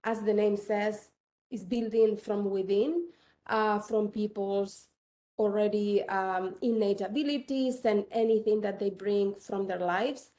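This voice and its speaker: A woman with a Chilean Spanish accent answers a panel question in a warm, darker voice. She speaks unevenly, slowing and rushing, with frequent fillers. She sounds middle-aged. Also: mid-range voice, steady tone. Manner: halting, with many fillers and uneven pace. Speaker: panelist, startup founder.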